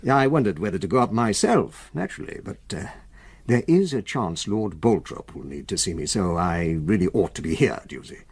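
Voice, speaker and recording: An avuncular older English solicitor in a radio play, his pitch rising and falling freely and his voice a little husky. He is brisk yet evasive, pausing and chuckling in an old-fashioned way. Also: big pitch swings, full character voice. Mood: persuasive. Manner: avuncular, hesitant pauses, chuckling, old-fashioned turns of phrase. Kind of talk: radio drama acting.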